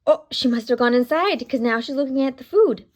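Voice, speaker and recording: A woman reading a children's story aloud with deliberate, dramatic emphasis, speaking directly to young listeners. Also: high-pitched, lively intonation, a little mellow. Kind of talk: expressive children's read-aloud with asides to listeners.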